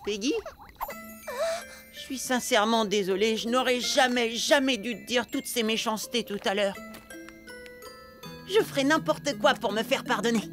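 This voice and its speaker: A woman voicing an excitable, hot-headed but soft-hearted schoolboy amoeba in a French cartoon dub, dramatic and slangy. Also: fairly high pitch, moderate pace, long pauses, lightly acted. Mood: remorseful. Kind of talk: animated children's cartoon dub. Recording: music behind the voice.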